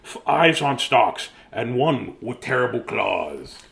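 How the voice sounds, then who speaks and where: A middle-aged man hosts a bedtime story reading. His mid-pitched voice swings up and down expressively and drops into gravelly fry, with a silly, jokey manner and sing-song cadence. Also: sing-song, takes it slowly, a bit bright, a little hiss or hum. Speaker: storyteller and host. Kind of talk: playful bedtime story reading with joking asides.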